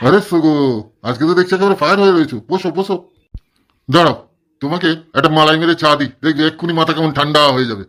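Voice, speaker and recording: A man's voice: fairly high pitch, rapid-fire, a bit bright, lightly acted. A man voicing a chatty, fussy, placating village tea seller in a comedy cartoon. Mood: cheerful, placating. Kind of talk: exaggerated comedy cartoon dialogue.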